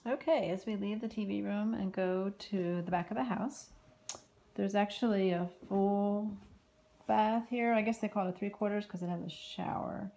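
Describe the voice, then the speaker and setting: A woman giving a casual walking tour of a house and its backyard, filmed on a handheld phone, with chatty remarks that trail off into soft asides. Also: medium pitch, steady tone, normal speed, creaky. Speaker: home tour vlogger. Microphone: phone camera held while walking.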